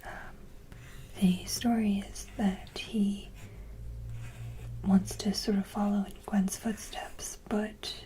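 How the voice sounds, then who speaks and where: Woman, breathy ASMR whisper, unhurried, halting, flat intonation, gentle presenter. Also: medium pitch, rough recording. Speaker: ASMR video host. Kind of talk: softly whispered ASMR comic book show-and-tell.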